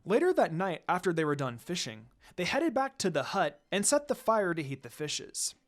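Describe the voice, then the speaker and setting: A narrator reads a scripted fan fiction story aloud. The voice sits in a middle register and moves widely in pitch from phrase to phrase. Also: sing-song, normal speed.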